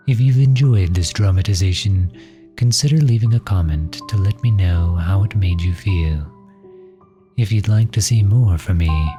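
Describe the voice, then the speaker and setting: A man's voice: sits low, warm tone. A man who performs audio roleplay, speaking to his listeners in a scripted sign-off. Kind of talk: scripted upbeat outro to listeners.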